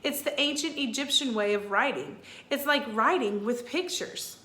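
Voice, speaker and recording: A woman's voice: high voice, normal speed, bright, forceful tone, echoey room, voice pushed up. A woman voicing an earnest, studious young boy in a storybook, explaining things carefully.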